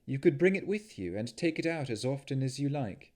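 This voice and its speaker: Guy doing a young warlock woman, higher and lively, earnest and pleading, storybook reading. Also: brisk, natural voice. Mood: coaxing.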